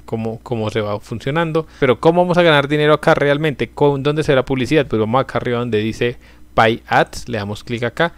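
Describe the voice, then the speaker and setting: A young man, a channel host, casually walking viewers through a website in a screen-recorded tutorial, leaning on fillers as he explains. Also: mid-range voice.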